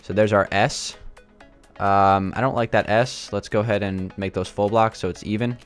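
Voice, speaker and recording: A young man with a slightly raspy, sore throat, thinking aloud with lots of fillers as he builds in a game. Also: lowish voice, fairly even intonation, normal speed, soft background music. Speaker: gaming channel host. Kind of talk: casual gameplay commentary.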